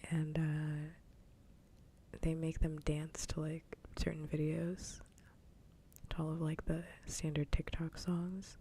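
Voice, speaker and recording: A woman speaks softly and mostly in whispers, in the manner of a casual ASMR livestream. Her voice sits low and is dark and mellow, breaking into creak where it is voiced. She is close to the microphone. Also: level tone, slow. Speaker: livestreamer host. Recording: faint background noise, low rumble.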